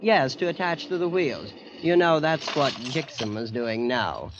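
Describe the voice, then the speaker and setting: Male actor as spoiled young heir, American, boastful, nonchalant, animated intonation, dull vintage radio sound. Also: mid-range voice, unhurried, noisy background, lightly acted. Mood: breezy, nonchalant. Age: young adult. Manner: nonchalant, smug. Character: blasé, boastful young heir of a fabulously rich family. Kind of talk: 1950s American radio drama acting. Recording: muffled vintage radio recording.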